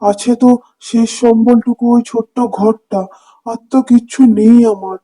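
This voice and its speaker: A man's voice: extremely high pitch, steady tone, moderate pace, warm tone, slightly rough. An actor voicing a poor, humble village fisherman and father in a cartoon, earnest and plaintive. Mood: troubled. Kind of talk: cartoon voice acting.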